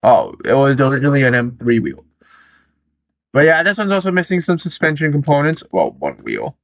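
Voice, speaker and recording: A young man giving relaxed, rambling gameplay commentary, swearing casually as he talks. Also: mid-range voice, a little fast, no echo, highs cut off. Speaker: gaming channel host.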